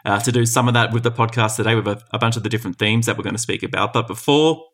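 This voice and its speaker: A man's voice: mid-range voice, very fast, fairly bright tone. A man hosting a podcast, talking in a friendly, conversational way and thinking aloud as he asks questions. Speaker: podcast host. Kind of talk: podcast interview.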